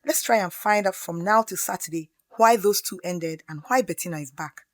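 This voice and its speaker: A woman acts a calm young friend in a radio drama. She has a fairly low voice in a dry recording, and reasons supportively in measured phrases. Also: dry recording, lightly acted. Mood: sympathetic, advising.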